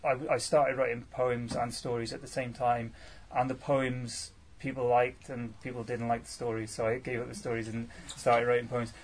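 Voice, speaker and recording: A man's voice: mid-range voice, level tone, moderate pace, slight background noise. Hesitant Welsh-born poet man. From Wales. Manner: hesitant, many fillers and restarts, self-deprecating. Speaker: featured poet answering audience questions. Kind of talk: casual live Q&A at a poetry salon.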